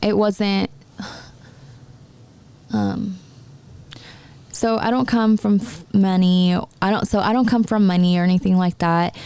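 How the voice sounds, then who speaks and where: A woman of twenty-three, raised in the United States, talking casually and haltingly in a personal podcast monologue. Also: mid-range voice, steady tone, darkish tone, clean tone. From born in Mexico, raised in the United States. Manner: halting, restarts her sentences repeatedly. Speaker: podcast host and entrepreneur.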